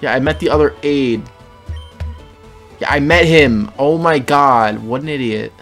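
A man's voice: medium pitch, fairly bright tone. Guy co-hosting a game playthrough; crude, mocking banter, heavy swearing, light game music underneath. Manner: reads in-game dialogue aloud quickly. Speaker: let's play co-host, player. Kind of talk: casual gaming commentary.

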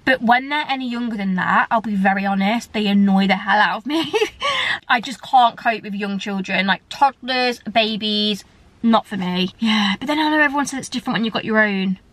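A woman's voice: mid-range voice, normal speed, fairly bright tone, no room sound. A woman, chatting candidly to her viewers from a parked car. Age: 30s. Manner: chatty and candid, gesturing with both hands. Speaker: vlogger. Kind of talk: casual chat to camera.

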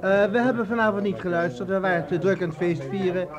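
A man acting a suave, ingratiating host in a radio drama. His voice is high and jovial, heard in a dull recording with a crowd behind him. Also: steady tone, fast talker, natural voice. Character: suave, jovial party host buttering up policemen. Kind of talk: Dutch radio play acting.

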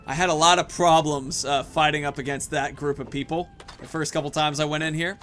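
A man's voice: fairly high pitch, fairly even intonation, brisk, fairly bright tone, soft background music. A man in his thirties giving casual commentary as the host of a gaming video.

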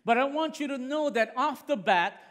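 Excitable middle-aged male preacher, ringing hall. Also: very high voice, normal speed. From Puerto Rican heritage. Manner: excitable, earnest, restarts when fired up. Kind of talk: sermon. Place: large church hall. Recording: long reverb of a big hall.

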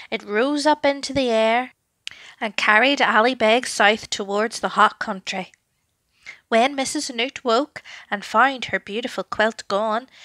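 A woman narrating a children's story with an expressive, deliberate, dramatic delivery. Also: a little high, no room sound.

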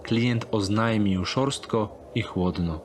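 A man reading scripted third-person narration for a dark thriller audiobook. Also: on the low side, slow, noisy background.

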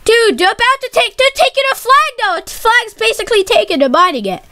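Excitable woman gamer, very high and bright voice, bouncy and jumpy delivery, talks to a teammate. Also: brisk. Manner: excitable, repeats warnings, says dude constantly. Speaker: YouTube gamer. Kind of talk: live gameplay commentary with a friend.

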